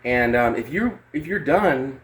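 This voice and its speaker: A man's voice: mid-range voice, lively intonation, unhurried. A man giving a casual, unscripted music gear demo in a rambling, hesitant, sweary way. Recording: clear background noise.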